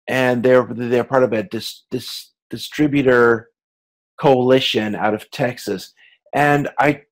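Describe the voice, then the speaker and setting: Man giving an informal, halting lecture. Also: mid-range voice, rough recording. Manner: hesitant, halting delivery with repeated words and self-corrections; conversational, explanatory, addresses listeners directly.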